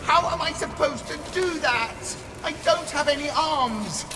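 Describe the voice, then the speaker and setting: An actor voicing a nervous, whiny armless creature. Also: male voice, extremely high pitch, a little slow, voice pushed up. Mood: anxious. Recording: echoey hall, long reverb. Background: music.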